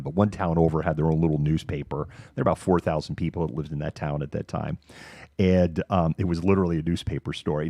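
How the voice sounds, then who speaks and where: Male livestream host, middle-aged, American. Low-pitched, dry sound, candid and halting off-the-cuff delivery. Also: darkish tone, no room sound. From Massachusetts, United States. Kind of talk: casual monologue of personal reminiscence.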